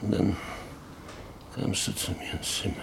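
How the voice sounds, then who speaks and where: An embittered landowner obsessed with his venture in a radio drama. His voice is very low and creaky, and he speaks with brooding insistence. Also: level tone, unhurried, background noise, heavily acted. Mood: desperate, weary. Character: embittered, obsessed, paralysed landowner John Beverly drilling for oil. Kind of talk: German radio play acting.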